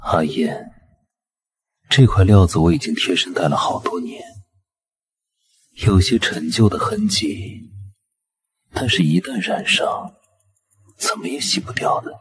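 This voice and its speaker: A man playing a sly, possessive, enigmatic aristocrat in an animated web drama, slow and insinuating. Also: sits low, breathy edge, heavily acted.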